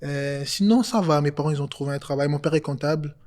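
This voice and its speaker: A man in his twenties from Congo, talking casually as an interview guest, relaxed and hesitant with many fillers. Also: on the high side, fast talker. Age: 20s.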